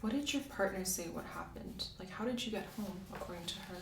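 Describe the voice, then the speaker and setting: A soft-spoken woman doing an ASMR medical roleplay as a doctor, asking methodical questions in a reassuring way. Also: mid-range voice, a little fast, gravelly. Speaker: ASMR creator playing a doctor. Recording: roomy, distant mic, faint hiss.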